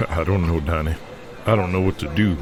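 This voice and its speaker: A man voicing a weary, troubled male wrestler and booker who speaks in a halting, folksy way. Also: low-pitched, natural voice. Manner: quiet, halting, folksy grammar. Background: music underneath.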